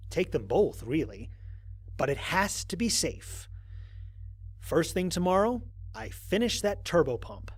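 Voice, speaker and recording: A man playing a wry, grumbling human astronaut who records mission logs in an audio drama, speaking in a conversational, expressive way. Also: on the high side, measured pace, breathy edge, heavily acted. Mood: musing. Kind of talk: dramatized first-person log narration.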